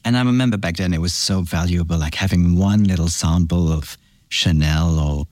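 A middle-aged man from France and Belgium, a brand founder, recalling his past in a relaxed interview with halting, reflective restarts. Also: low voice, no echo. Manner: reflective, halting, warm storytelling with many restarts. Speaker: fragrance brand founder, guest. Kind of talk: casual interview chat.

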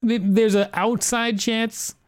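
A man's voice: high-pitched, level tone, measured pace, no echo, clean studio sound. A man co-hosting a podcast, reading an article aloud and chatting casually. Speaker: podcast co-host.

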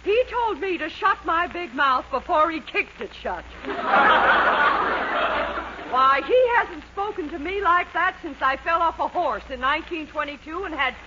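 An actress playing a sardonic, loud-mouthed middle-aged mother in a 1940s radio comedy. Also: high voice, expressive, measured pace. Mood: sardonic, amused. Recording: muffled, crackly old radio recording.

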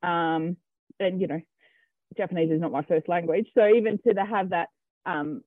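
This Australian man talks in a fairly high voice for a man, pausing often with ums and restarting his sentences as he explains his farm. His voice comes through a thin, phone-like line that sounds dry, with no room around it. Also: dry recording. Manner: hesitant, full of ums and restarts. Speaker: cattle farmer guest. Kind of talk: casual interview answers.

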